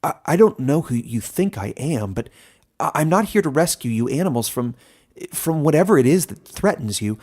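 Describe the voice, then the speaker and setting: A man's voice: on the high side, sing-song, moderate pace, darkish tone, voice pushed up. A man voicing a humble, bewildered young woodcutter boy who stammers, in a fantasy story. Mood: flustered.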